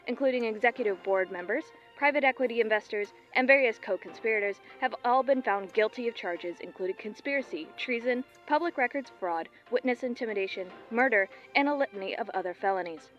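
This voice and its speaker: A composed TV news anchor in an audio drama, played by a woman. Her voice sits a little high and her announcing is formal and measured, over faint music. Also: a bit bright, lo-fi sound. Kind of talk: scripted newscast delivery in audio drama.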